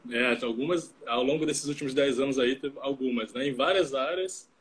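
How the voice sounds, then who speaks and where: A man in his late twenties from Salvador, Brazil, co-hosting a casual live stream and talking with a video-call mic, relaxed and full of fillers. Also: mid-range voice, brisk, rough recording. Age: 20s. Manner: relaxed, chatty, many fillers and tag questions.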